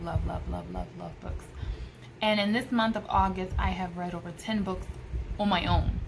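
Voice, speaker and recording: Woman in her 30s chatting to camera, mid pitch, stresses her words, bit of noise behind her. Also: fairly bright tone. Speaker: homeschool vlogger. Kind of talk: casual advice talk to camera. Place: small room at home by a window. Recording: clearly noisy room recording.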